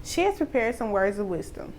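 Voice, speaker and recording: Higher-pitched woman presenter, slight background noise. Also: sing-song, fairly quick, darkish tone, low-quality recording. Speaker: program presenter. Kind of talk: scripted introduction. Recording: faint background noise and ambience.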